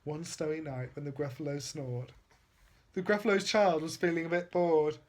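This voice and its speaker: Man, father at bedtime, expressive storybook narration, rhythmic rhyming delivery. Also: fairly high pitch, moderate pace.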